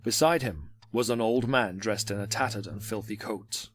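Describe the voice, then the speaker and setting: A man's voice: lowish voice, moderate pace. A man narrating an audio drama in a scripted, dramatic storytelling style. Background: music.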